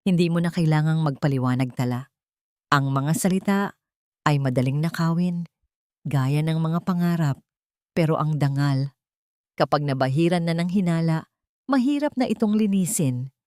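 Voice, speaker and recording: A woman's voice: sits low, moderate pace, long pauses, a little mellow, dry recording, studio quality, natural voice. A woman voicing a cold, stern, disappointed rich master of the house, grave in manner, in an audiobook drama.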